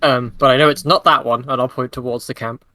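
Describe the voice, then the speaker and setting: A man's voice: mid-range voice, steady tone, fast, pushed and bright, dry recording. A man casually improvising his character's moves as a player in a tabletop game. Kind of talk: casual improvised roleplay chat.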